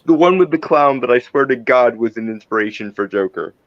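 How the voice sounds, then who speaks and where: A man's voice: mid-range voice, lively intonation, fairly quick, dry recording, highs cut off. A man chatting casually as a game player, often restarting and repeating the openings of his sentences. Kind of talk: casual chat.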